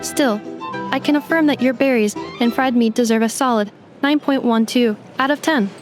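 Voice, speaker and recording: A woman's voice: on the high side, moderate pace, natural voice. A woman voicing a polite female robot in a video game, speaking in formal, exact, matter-of-fact phrasing. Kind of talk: game voice acting. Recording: game dialogue over background music.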